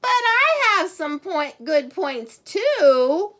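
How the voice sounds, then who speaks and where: A woman's voice: very high voice, sing-song, drawn-out words, a bit bright, pitched above the performer's own voice, exaggerated voice. A woman voicing a pushy, boastful, eager trapezoid in a children's storybook, insistent and showy. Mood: pleading, eager.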